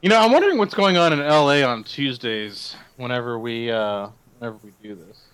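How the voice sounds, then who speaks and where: A man's voice: mid-range voice, lively intonation, a little fast, fairly bright tone, clean tone, rough recording. A man chatting casually as a podcast co-host on an online group call. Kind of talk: casual chat.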